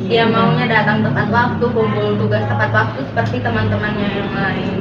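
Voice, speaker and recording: A woman's voice: on the high side, distant mic. A woman leading a group counseling session, encouraging the others and summing up what they say. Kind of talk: guided group counseling discussion. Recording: echoey, noisy, muffled pickup from afar.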